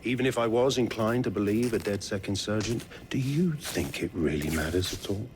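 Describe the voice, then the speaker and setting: A man's voice: fairly low pitch, lively intonation, fairly quick, lightly acted. A man as a smug military villain, noisy background. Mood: mocking. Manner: smug, taunting, commanding. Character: smug, menacing male private military commander. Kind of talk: video game cutscene acting.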